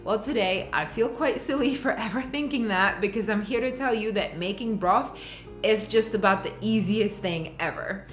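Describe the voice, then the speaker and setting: A woman's voice: mid-range voice, normal speed, faint music behind. Czech-accented woman, chatty cooking voice-over. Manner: relaxed, chatty explaining with light laughs. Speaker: home cooking vlogger.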